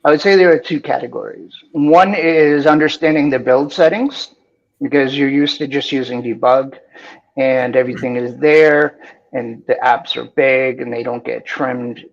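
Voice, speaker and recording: A man from Boston speaks with a faint Boston accent and a gravelly, creaky edge. He explains technical steps with enthusiasm, filling pauses with "um." The audio is slightly dull, like a remote call mic. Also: medium pitch. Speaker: guest developer. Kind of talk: technical talk-show chat.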